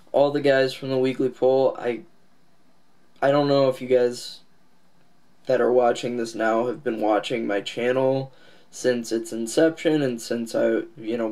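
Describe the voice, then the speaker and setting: Guy with a stuffy nose chatting to his viewers, really slow and halting, medium pitch. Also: fairly even intonation, dark tone. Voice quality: nasal, stuffed-up. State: congested, recovering from a cold and lost voice. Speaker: comics channel host. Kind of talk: casual, unscripted channel update to viewers.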